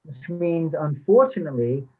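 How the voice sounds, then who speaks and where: Actor as tinny-sounding regretful former professor. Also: male voice, on the high side, expressive, normal speed, lightly acted. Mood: apologetic, regretful. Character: forty-year-old former English professor, regretful, aggrieved. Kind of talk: play reading over the phone and in dialogue. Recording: thin, tinny phone-like sound.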